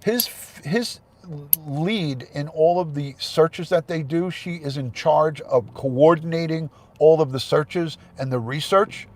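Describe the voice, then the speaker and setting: A man's voice: fairly high pitch, takes it slowly, darkish tone. A man hosting a casual livestream, congested with a head cold, chatting and thanking viewers by name. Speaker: livestream host.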